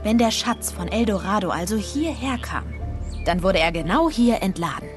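Game casting: woman playing a plucky young explorer, curious and challenging, highly animated, over film music. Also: moderate pace, natural voice. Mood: curious, eager. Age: young adult. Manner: spirited, challenging. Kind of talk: German game dub, cinematic acting. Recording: loud film music behind.

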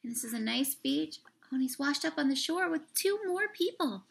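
Young female storyteller for kids' picture book read-aloud; raised pitch, noticeable fry, expressive and questioning delivery. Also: slight background noise. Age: young adult. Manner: expressive, drawn-out words, asks the listeners questions. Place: small room indoors.

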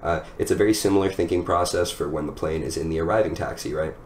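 Very deep-voiced young man, echoey. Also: steady tone, fast, darkish tone. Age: 20s. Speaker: software engineer teaching a design lesson. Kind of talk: casual technical explanation over slides. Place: small room at home, indoors. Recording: echoey room with background noise.

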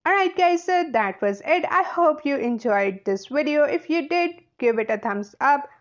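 A woman's voice: high-pitched, expressive, normal speed, highs cut off. A woman reading a children's picture book aloud in a playful, emphatic, sing-song way.

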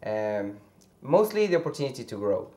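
A young man from Venezuela with a Venezuelan accent, a native Spanish speaker answering interview questions haltingly, searching for words, on a lapel mic indoors. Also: medium pitch, sing-song, mellow. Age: young adult. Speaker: interview guest. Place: indoor room with a fireplace, seated.

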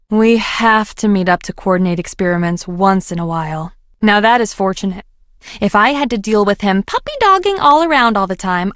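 A woman's voice: medium pitch, no room sound, studio quality, natural voice. An actress in a video game playing a guarded, plainspoken young woman scientist from a farm, with homespun turns of phrase. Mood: wry, frank.

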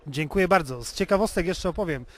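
A man's voice: a little high, animated, fast talker, a bit bright. A Polish man being interviewed informally as a car owner, explaining in a wordy way and often rephrasing himself. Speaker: interviewee, classic car owner and hobby restorer.

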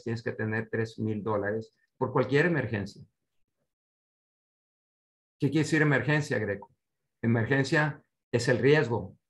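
An older man giving an online finance seminar as a coach, speaking didactically with short instructions and rhetorical questions over a video call. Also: mid-range voice. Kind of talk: online seminar teaching with slides. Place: indoor room at home, on a video call.

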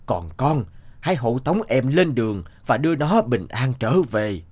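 A man's voice: medium pitch, big pitch swings, a little slow, a little mellow. Grave cartoon village father. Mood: firm, grave. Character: grave village father, healer plotting cautiously. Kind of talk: Vietnamese cartoon dubbing.

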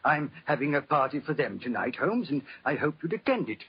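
A worried posh british lord, slightly high voice, old muffled radio play. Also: male voice, sing-song, brisk, slight background noise. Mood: hesitant, earnest. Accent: British English. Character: genteel, anxious, well-off English lord asking for help. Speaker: actor playing a client. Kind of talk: 1940s radio acting. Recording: muffled, band-limited 1940s radio recording.

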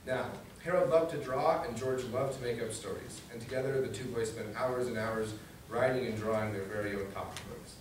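A man's voice: medium pitch, brisk. A young man reading a children's book aloud to an audience in a meeting room, picked up from a distance. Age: young adult. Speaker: guest reader, student government vice president. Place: medium indoor meeting room at a library. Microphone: distant camera facing a podium. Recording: echoey room from far off, noisy.

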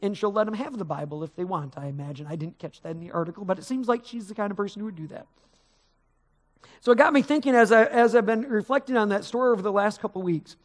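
A man's voice: high voice, fairly bright tone. Middle-aged man preaching in a sanctuary. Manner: conversational preaching, rising with emphasis, gesturing while pacing the stage. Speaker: pastor. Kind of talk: sermon. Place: large church sanctuary, on stage.

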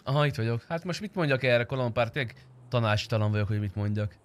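A man's voice: medium pitch, clean tone. A man talking casually with teammates in an online role-play game, using slang and teasing.

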